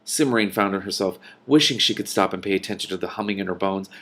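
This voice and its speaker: A man's voice: deep voice, fast, fairly bright tone. A man reading a storybook aloud and adding casual, exclamatory commentary as he goes. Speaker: reader and commentator.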